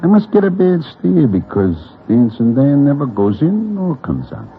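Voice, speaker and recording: A man playing a laconic, oddly polite Broadway gunman in a radio drama, with a husky voice. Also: fairly high pitch, huge pitch swings, normal speed, slight background noise. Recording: narrow lo-fi radio sound.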